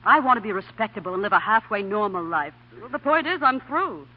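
An actress playing a sharp-tongued, independent star reporter in a 1940s radio comedy, sassy, wisecracking and dramatic. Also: female voice, mid-range voice, sing-song, a little fast. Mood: indignant. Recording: dull 1940 radio broadcast.

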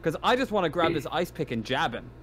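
A man playing a tabletop game, chatting casually in an animated, sweary way. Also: a little high, quick pace, background noise. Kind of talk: casual game chat over online session.

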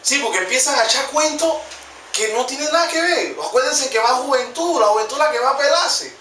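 A man's voice: extremely high pitch, expressive, fairly quick. A young man in his twenties with a Panamanian Spanish accent, hosting a joking, slangy comedy vlog from a small room. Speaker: vlog host. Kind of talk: casual comedic vlog narration. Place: small room with computer desk. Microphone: camera mic from a distance. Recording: echoey room, distant mic, faint noise.

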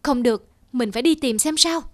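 High-pitched nagging peasant wife played by a woman. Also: a little fast, close to an everyday voice. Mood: worried, nagging. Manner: nagging, sarcastic. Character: scolding, exasperated peasant wife. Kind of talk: folktale cartoon dubbing.

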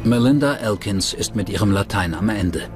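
A man's voice: on the low side, animated, normal speed, close to an everyday voice. A man reading scripted true-crime documentary narration as the narrator. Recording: music bed under the voice. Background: background music.

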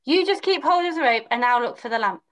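Woman playing a wary but plucky panto lad, high and very animated, reading from script. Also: fast. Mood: excited. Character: plucky, wary village boy pantomime hero. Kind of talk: amateur pantomime read-through. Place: indoors at home, on a video call.

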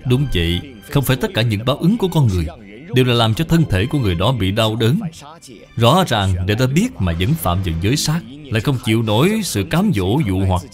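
A man's voice: mid-range voice, big pitch swings, brisk, lightly acted. A man voicing a stern senior Buddhist brother in a cartoon, grave, instructive and reproving. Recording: music behind the voice.

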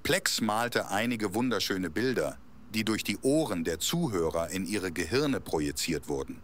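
A man's voice: deep voice, lively intonation, moderate pace, a bit bright, a little hiss or hum. A man narrating a scripted audio story as its storyteller.

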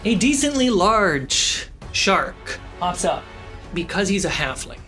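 A woman narrating a tabletop fantasy game as game master, telling the story with dramatic pauses. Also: mid-range voice, big pitch swings, a bit bright. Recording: dramatic music under the voice. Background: background score.

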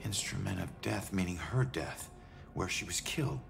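An actor playing a gruff, world-weary ghost detective in a video game, terse and muttering. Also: male voice, low-pitched, normal speed, faint music behind. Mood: tense, thinking. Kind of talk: dramatic video game acting.

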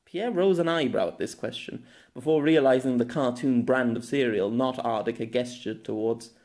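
A man's voice: mid-range voice, normal speed, darkish tone. A man narrating a scripted novella as an audiobook. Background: music.

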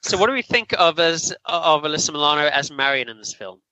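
A man's voice: fairly high pitch, expressive, brisk, sharp tone, no room sound, poor audio. A man hosting a casual film review podcast, chatting in an opinionated, informal way. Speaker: podcast host.

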